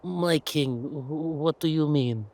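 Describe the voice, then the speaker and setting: Guy doing a jittery suck-up duke in audio drama, pitched up, stammery, very deferential. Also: slow, darkish tone. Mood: alarmed, flustered. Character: nervous, obsequious male duke, fawning and flustered. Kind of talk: villain audio roleplay.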